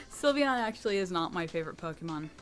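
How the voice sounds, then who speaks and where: Young female host from the US, deep rich voice, relaxed candid chatter, soft music bed. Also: normal speed. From United States. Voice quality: low rich voice, sometimes taken for a boy. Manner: chatty and candid, with laughs and restarts. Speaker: channel host answering fan questions. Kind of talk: casual Q&A monologue.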